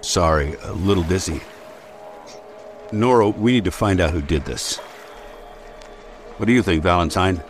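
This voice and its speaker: A grave soldier in a radio drama. His voice is deep and businesslike, with music clearly behind it. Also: a little slow, long pauses. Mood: subdued, focused.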